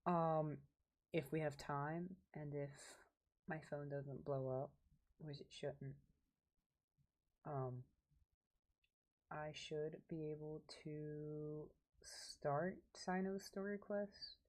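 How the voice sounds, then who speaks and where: A woman's voice: low voice, fairly even intonation, drawn-out words, long pauses, a little mellow. A woman casually chatting as she livestreams a game, rambling to viewers and to herself. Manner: rambling, talks to chat and to herself. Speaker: game streamer. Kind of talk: casual livestream gameplay chat.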